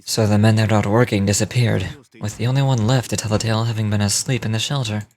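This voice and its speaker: An actor playing a composed, methodical gentleman investigator in a video game, speaking in a measured, formal way. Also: fairly low pitch, fairly even intonation, close to an everyday voice. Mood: calm, analytical.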